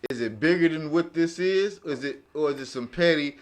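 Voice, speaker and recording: A man talking in a casual round-table podcast discussion, halting and repeating himself as he searches for words. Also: a little high. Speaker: podcast panelist.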